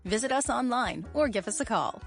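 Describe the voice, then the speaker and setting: A woman's voice: on the high side, animated, a little fast. A woman with an American accent reading scripted advertising voice-over. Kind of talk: scripted commercial narration. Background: faint music.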